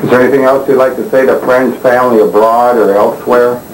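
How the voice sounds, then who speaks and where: A man's voice: mid-range voice, fairly even intonation, fast talker. A man interviewing people, asking short, plain, level questions. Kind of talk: recorded video interview. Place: indoors in a residential compound. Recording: echoey, noisy archival video.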